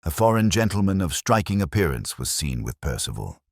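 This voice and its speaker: Man playing a brilliant detective, very deep voice, swooping intonation, commanding, deliberate, cryptic storybook sleuth. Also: sing-song, no room sound, studio quality, heavily acted. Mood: resolute. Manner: deliberate, rhetorical, declarative.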